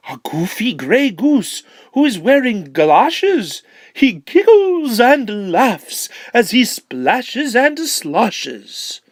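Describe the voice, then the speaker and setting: Woman as an eager, unhurried storybook spider. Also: mid-range voice, no echo. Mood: eager, playful. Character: helpful, confident spider brimming with ideas. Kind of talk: children's storybook read-aloud in rhyme.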